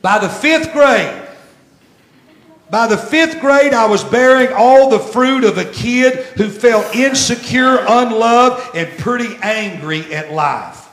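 Slow, emphatic preacher man in his sixties. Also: very high voice. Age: 60s. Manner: emphatic, repeats phrases and swells loud, then drops very soft. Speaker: pastor. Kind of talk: sermon with storytelling. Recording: echoey church room.

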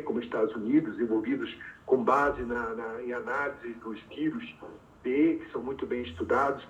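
A man, a physician and researcher, patiently explaining as a guest in an interview with everyday examples. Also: mid-range voice. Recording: distant, echoey, phone-like remote audio.

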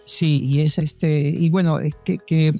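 Older guy, gravelly low-key voice, lots of ums and restarts, soft music under it. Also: steady tone, slow, no room sound. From Panama. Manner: hesitant, many restarts and fillers. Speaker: guest. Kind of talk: relaxed interview answers.

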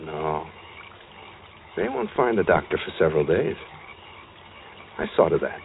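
An actor playing an escaped madman and killer in an old-time radio suspense drama, calm, brooding and menacing, in short wistful phrases. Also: a bass voice, a little slow, creaky, phone-quality sound, heavily acted. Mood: quiet menace. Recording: thin band-limited old radio recording, faint noise.

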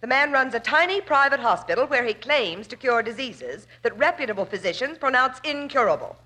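A proud young heiress who doubts and defies. Her voice is on the high side and lively in pitch. She speaks in clipped, formal vintage radio drama style. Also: a little fast. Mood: skeptical, cool. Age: young adult. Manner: crisp, formal, strong-willed. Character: proud, skeptical, defiant young heiress daughter. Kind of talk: 1940s radio acting.

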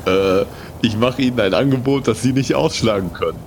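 A man's voice: mid-range voice, brisk, a little mellow, a little hiss or hum. A man improvising as an adventurer in a comic audio play, with playful, theatrical delivery.

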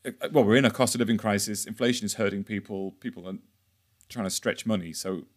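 Lowish-voiced man hosting a podcast. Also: quick pace. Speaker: podcast host, interviewer. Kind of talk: conversational interview questioning.

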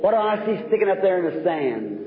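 High-voiced revival preacher, tinny old recording. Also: male voice, big pitch swings, fairly quick. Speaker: evangelist preacher. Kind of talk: revival sermon to a congregation. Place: large reverberant meeting hall. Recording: tinny, noisy, echoing old hall recording.

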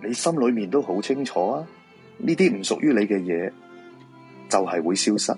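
A man's voice: on the low side, animated, moderate pace, darkish tone, faint music behind, close to an everyday voice. A man with a Hong Kong Cantonese accent acting a weary, persuasive feng shui master in a supernatural radio drama. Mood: sober, persuading.

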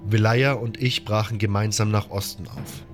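A man's voice: on the low side, steady tone, moderate pace, over music. A man reading a scripted first-person fantasy story as its narrator. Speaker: storyteller reading a game-based audio story.

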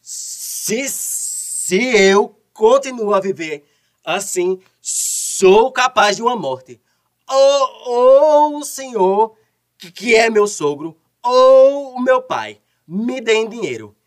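An exasperated young husband in a radio soap. He stutters heavily and drags his words out slowly, with big swoops in pitch. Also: expressive, heavily acted. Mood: desperate. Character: stammering, exasperated grown son and husband.

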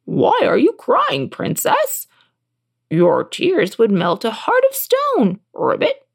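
A woman's voice: fairly high pitch, huge pitch swings, slow, gravelly, exaggerated voice. A woman voicing a small male talking frog from a storybook, eager and insistent, who punctuates speech with ribbits. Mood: coaxing. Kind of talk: storybook character voice.

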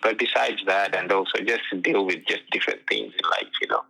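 A young man from Uganda, a pro soccer player telling his story in a relaxed interview, full of "you know" fillers, heard over what sounds like a phone line. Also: low-pitched, a little fast, gravelly. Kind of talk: casual interview chat.

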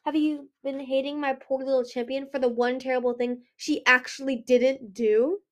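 A haughty villainous older dragon queen from a fantasy storybook, acted by a woman. The voice is high and airy, drawling with mocking confidence. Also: level tone, normal speed, close to an everyday voice. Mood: mocking amusement.

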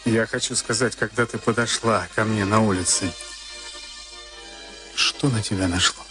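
An actor in a Soviet radio play as a moody, weary boxer of thirty-six. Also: male voice, fairly low pitch, fairly even intonation, moderate pace, close to an everyday voice. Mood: weary, earnest. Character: moody, weary 36-year-old professional boxer and former prisoner. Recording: archival radio recording with music behind.

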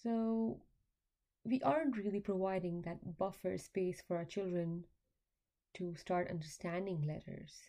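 A young woman, a graduate student, hosting an educational podcast, explaining in a measured way and speaking directly to parents. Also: fairly low pitch, dark tone. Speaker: podcast host; graduate student in applied linguistics. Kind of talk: scripted educational podcast talk.

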